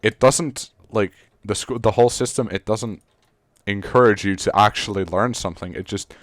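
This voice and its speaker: A man's voice: lowish voice, moderate pace, dry recording, clean studio sound. A Serbian teenage boy, a native Serbian speaker, co-hosting a podcast in casual chat, rambling with many fillers and restarts. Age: teens. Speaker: podcast co-host.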